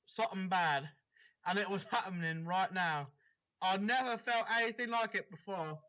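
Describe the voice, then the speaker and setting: A man with a bright, fairly high voice reads fan fiction out loud in an informal way. He slips between the text and chatty asides to whoever is listening. The recording is dull and lo-fi. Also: normal speed. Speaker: story reader. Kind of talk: reading fan fiction aloud, with casual asides.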